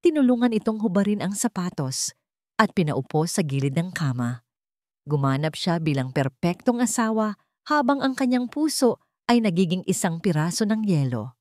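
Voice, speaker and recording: A woman's voice: on the low side, darkish tone, no echo, studio quality. A woman narrating a scripted dramatic story as an audiobook narrator.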